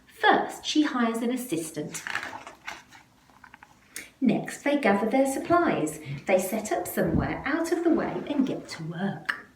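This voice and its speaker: A woman reads a picture book to children with very lively, swooping intonation. She takes her time, stressing the action words dramatically. Her voice rings in a roomy space with a little noise behind it. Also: on the high side. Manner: deliberate, dramatic phrasing with emphasis on action words. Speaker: school staff member reading a picture book aloud. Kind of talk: expressive children's storybook read-aloud. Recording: roomy, echoey, faint background noise.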